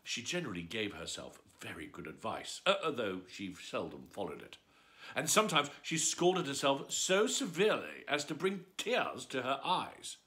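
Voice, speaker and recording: A man's voice: medium pitch, bright, forceful tone. A man reading a children's story aloud as a bedtime storyteller, with a theatrical, swooping delivery.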